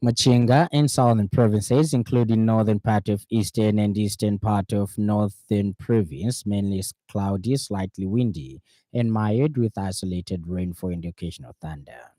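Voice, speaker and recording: Low, mellow Zambian male newsreader. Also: normal speed, dry recording. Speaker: radio news presenter. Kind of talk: scripted news bulletin reading. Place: dry radio studio.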